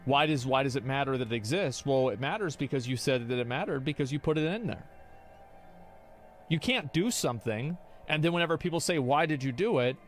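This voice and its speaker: A man's voice: mid-range voice, expressive, a little fast. Argumentative man streaming with faint music. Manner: rambling, argumentative, restarts often. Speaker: streamer reacting to chat. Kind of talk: casual opinion talk.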